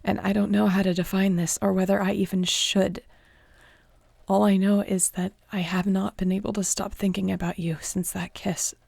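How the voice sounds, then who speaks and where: A woman acting a guarded, flustered but tender mentor in a modern fantasy audio roleplay, speaking haltingly and often near a whisper. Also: mid-range voice, flat delivery, vocal fry, a little mellow, husky, dry recording. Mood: earnest, vulnerable. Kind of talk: audio roleplay acting, intimate one-sided dialogue.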